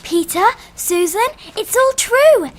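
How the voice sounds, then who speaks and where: An actress playing an earnest, excitable little girl who is easily hurt, in a radio drama. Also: very high voice, slow pace, faint music behind, full character voice. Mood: breathless excitement.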